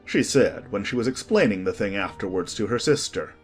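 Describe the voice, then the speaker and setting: A man's voice: on the low side, expressive, brisk, faint music behind. A man reading a classic storybook as an audiobook narrator, in a scripted storytelling style.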